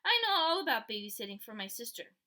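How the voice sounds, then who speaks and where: A woman's voice: big pitch swings, quick pace, sharp tone. A woman voicing a bossy schoolgirl who whines and wails when things go wrong. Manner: high and whining. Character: bossy, whiny schoolgirl, quick to wail.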